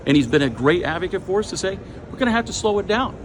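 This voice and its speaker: Emphatic American man over clear background noise. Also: sits high, expressive, fast. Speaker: air traffic controllers' representative. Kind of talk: press conference statement. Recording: clear urban ambient noise behind.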